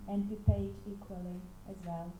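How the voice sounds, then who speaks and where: A woman's voice: mid-range voice, little pitch movement, normal speed, mellow, slight background noise. A woman from Estonia introducing herself, searching for words. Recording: roomy echo, off-mic.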